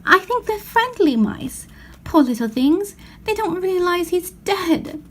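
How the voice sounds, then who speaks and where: A woman voicing a tender, earnest young girl in a storybook tale, with a gentle, sorrowful manner. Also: very high-pitched, big pitch swings, slow, voice pushed up, full character voice. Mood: earnest pity.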